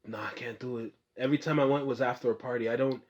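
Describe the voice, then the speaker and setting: A man's voice: medium pitch, steady tone, fast talker. Young man, podcast host, casual slangy chat, muffled lo-fi sound. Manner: loose, slangy, laughs a lot, swears casually. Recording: muffled lo-fi room recording.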